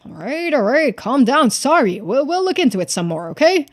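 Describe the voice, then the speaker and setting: A woman's voice: high-pitched, very animated, normal speed, no echo, studio quality. A woman voicing an informal, hesitant and wary male investigator in a video game. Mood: placating. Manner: casual, hesitant phrasing. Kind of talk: video game character voice-over.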